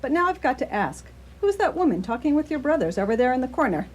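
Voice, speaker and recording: A woman's voice: high-pitched, very animated, fast talker, darkish tone, slight background noise. A woman playing a dry, teasing family member in a radio play. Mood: teasing, curious. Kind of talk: community radio theatre acting.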